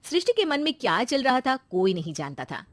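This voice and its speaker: A woman's voice: a little high, big pitch swings, a little fast, dry recording. Dry-sounding woman narrating a moral story.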